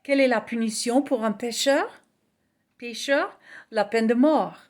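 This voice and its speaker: Slow earnest woman preacher. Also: on the high side. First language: English. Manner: earnest, measured, reading aloud. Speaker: evangelist. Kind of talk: slow scripted gospel message.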